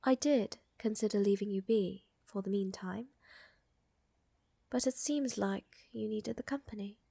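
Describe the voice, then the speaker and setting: A woman playing a flirtatious, doting aristocratic vampire countess in an intimate ASMR audio roleplay, with a soft, breathy murmur and elegant, teasing, soothing phrasing. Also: mid-range voice, measured pace, no echo. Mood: tender, flirtatious.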